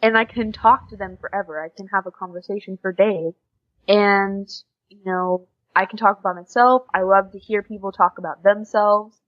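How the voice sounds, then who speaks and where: Young American woman, informal and chatty interview guest, mid-pitched voice, slightly muffled lo-fi sound. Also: steady tone, moderate pace. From USA. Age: young adult. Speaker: podcast guest, exchange student. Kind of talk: casual interview chat.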